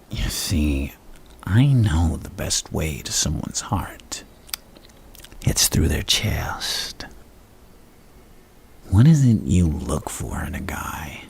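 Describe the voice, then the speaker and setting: A man playing a flirtatious, menacing, unhinged villain in a gravelly half-whisper for an ASMR roleplay, slow and teasing with murmured hums. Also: low voice, darkish tone, full character voice. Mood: seductive menace.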